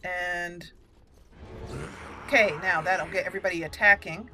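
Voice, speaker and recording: A woman with a bright voice gives casual commentary over a video game. She is chatty and expressive, talking to the characters as she plays. Faint game music runs behind her. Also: on the low side. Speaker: gaming channel host. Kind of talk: casual let's play commentary.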